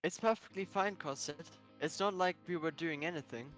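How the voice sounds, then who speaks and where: A man's voice: fairly high pitch, moderate pace, fairly bright tone, faint music behind, natural voice, low-quality recording. A man voicing an insecure, melancholy young herbivore character in a visual novel, pleading and hesitant. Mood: shy, gentle.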